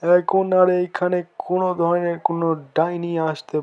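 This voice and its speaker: A man's voice: sits high, completely flat delivery, moderate pace, dull audio, slight background noise, close to an everyday voice. Man as a protective cartoon monster guard. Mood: insistent, protective. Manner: reassuring, insistent. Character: protective, obedient monster guard, blunt and reassuring. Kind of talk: cartoon dialogue.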